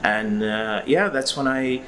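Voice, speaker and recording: A man's voice: medium pitch, takes it slowly, a bit bright, slight background noise. A man in his forties from Iraq, a record-collector guest who talks through his story in a rambling, hesitant way with lots of fillers. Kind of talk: casual interview answers.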